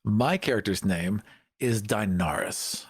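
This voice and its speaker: A man's voice: fairly low pitch, expressive, slow, slightly rough. A man taking part in a tabletop game as a player, casually explaining his character and the story.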